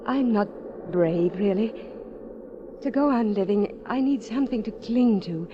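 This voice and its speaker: An actress playing an earnest, secretly desperate married woman climber in a 1940s radio drama, with hushed pleading and dramatic pauses. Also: medium pitch, natural voice. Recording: muffled, noisy 1940s radio recording.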